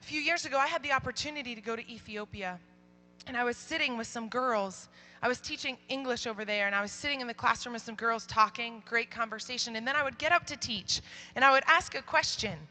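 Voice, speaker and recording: Loud, bright young woman speaker. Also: on the high side, quick pace. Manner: animated, acts out quoted voices. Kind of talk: storytelling youth talk.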